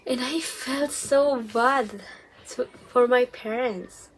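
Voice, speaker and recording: Slow, halting young woman vlogger. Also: fairly high pitch, breathy edge, poor audio. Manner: hesitant, with many restarts and soft whispered asides. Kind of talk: casual storytelling to camera.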